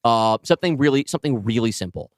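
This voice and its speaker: A man co-hosting a podcast in casual conversation, tentatively feeling out ideas with halting phrasing. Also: mid-range voice, animated, no echo. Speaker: podcast co-host and writer. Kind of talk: casual brainstorming chat.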